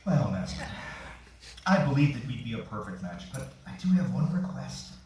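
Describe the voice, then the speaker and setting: A man's voice: medium pitch, very animated, normal speed, dark tone, close to an everyday voice. A man in a staged play reading, playing a sly, lecherous single man on dates with a sardonic, theatrical manner. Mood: smooth, yearning. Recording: echoey theatre, far mic, faint noise.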